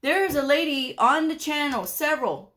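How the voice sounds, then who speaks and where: A woman's voice: sits high, normal speed, a bit bright. A middle-aged woman hosting an advice vlog, talking frankly and emphatically, scolding at times. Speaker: medical coding vlogger.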